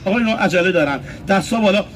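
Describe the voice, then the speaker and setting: A man's voice: sits high, lively intonation, very noisy. A man from Isfahan, Iran, presenting a comic street puppet show, playfully coaxing the puppets and addressing the audience directly. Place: outdoor street. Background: loud music.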